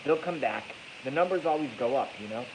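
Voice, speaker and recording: A man's voice: mid-range voice, lively intonation, a little fast. A man with a congested, stuffy voice delivers a casual, rambling livestream monologue, blunt and profane, repeating phrases for emphasis. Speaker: livestream host. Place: echoey garage at night. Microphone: iPhone, moved closer during the stream. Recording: hissy noisy iPhone recording.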